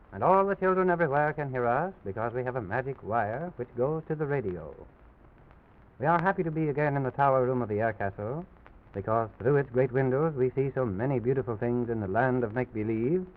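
Thin, band-limited old radio man's voice. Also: medium pitch. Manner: genial, addressing young listeners. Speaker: children's radio show host and singer. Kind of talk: old-time radio storytelling for children. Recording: phone-like old radio recording with music.

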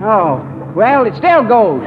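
A cranky, folksy engineer with a rural American accent, hollering in an extremely high voice. The old radio comedy sound is reverberant, dull and faintly noisy. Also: male voice, very animated, slow, exaggerated voice. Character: cranky, hard-of-hearing, rustic male pump-house engineer. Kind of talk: 1940s radio comedy acting.

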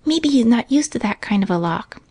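A woman voicing an excited, bold little boy in a storybook, eager and trusting. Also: fairly high pitch, normal speed, husky, no echo, voice pushed up. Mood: whispering eagerly. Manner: eager, wide-eyed. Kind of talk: storybook character voice.

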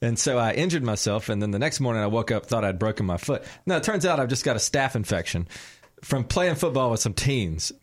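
A man's voice: mid-range voice, expressive, a little fast. A man in his thirties co-hosting a podcast, chatting casually and telling self-mocking stories in a radio studio. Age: 30s. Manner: joking, self-mocking storyteller. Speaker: podcast co-host. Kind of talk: casual chat.

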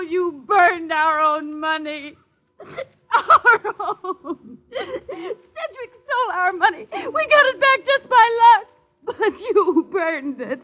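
An actress playing a bitter, highly strung miserly wife, melodramatic, in a 1950s radio drama. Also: female voice, big pitch swings, bright, forceful tone, full character voice. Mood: hysterical despair. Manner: melodramatic, drawn-out delivery. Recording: dull vintage radio recording, faint music.

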